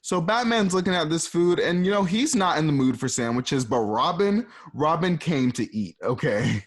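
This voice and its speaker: A man's voice: on the high side, animated, normal speed. A man hosting and narrating an amateur radio play, reading the stage directions casually and adding chatty asides. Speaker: narrator and host.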